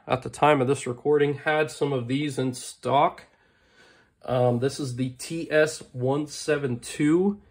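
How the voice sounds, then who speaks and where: A hoarse man getting over a lost voice, hosting a knife channel with eager, casual show-and-tell and frequent throat clearing. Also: mid-range voice. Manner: enthusiastic, clears throat often, filler-heavy. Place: indoors at a desk. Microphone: overhead camera on table, hands only.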